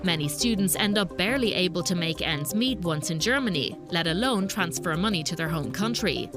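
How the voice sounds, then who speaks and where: Female news narrator, measured scripted read, music bed underneath. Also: fairly low pitch, lively intonation. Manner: measured, explanatory. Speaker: reporter and narrator.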